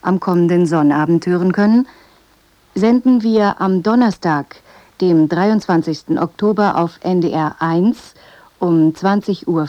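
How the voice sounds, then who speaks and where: A woman introduces a radio programme from a script, measured and formal. Her voice is rather low and very mellow, in a dry, close acoustic. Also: dry recording, dull audio. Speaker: radio presenter.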